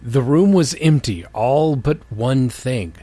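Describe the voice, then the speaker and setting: Animated man reading fan fiction aloud. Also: medium pitch, slow, dry recording. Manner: jokey, often breaking off to comment. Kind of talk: comic dramatic reading with casual banter.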